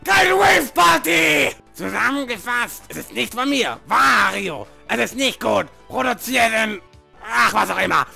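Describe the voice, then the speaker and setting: A crazed, greedy cartoon huckster. The bombastic voice is extremely gravelly and piercingly sharp, with faint music behind it. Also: male voice, very high voice, very animated, a little slow, exaggerated voice. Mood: manic sales pitch. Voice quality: gravelly, harsh. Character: crazed, greedy male game villain as pitchman.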